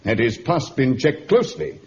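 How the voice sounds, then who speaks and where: Guy acting serious polite captain in old radio serial, very deliberate, muffled audio w faint noise. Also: medium pitch, sing-song, darkish tone, natural voice. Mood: composed, grave. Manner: formal, deliberate, courteous. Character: grave, courteous sea captain giving testimony.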